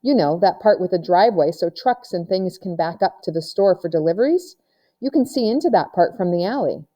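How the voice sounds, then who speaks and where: A woman reading a storybook aloud as a cautious, gentle young girl with a reassuring way about her. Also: lowish voice, expressive, fairly quick. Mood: matter-of-fact. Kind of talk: children's storybook read-aloud.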